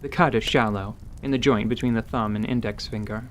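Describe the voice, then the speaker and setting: A man's voice: mid-range voice, fairly even intonation, fairly quick, slight background noise. A man narrating a scripted fantasy audio drama as its storyteller.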